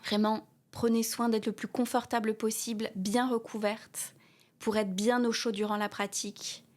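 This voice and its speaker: A woman guiding a yoga nidra meditation, speaking softly with pauses and repeated soothing phrases, often trailing off into a breathy whisper. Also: a little high, level tone. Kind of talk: guided meditation with a read inspirational text.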